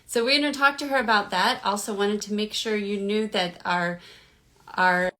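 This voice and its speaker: A woman's voice: mid-range voice, steady tone, moderate pace, sharp tone. A woman hosting a live social media interview over a video call, talking in a friendly, informal way with some restarts. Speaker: host.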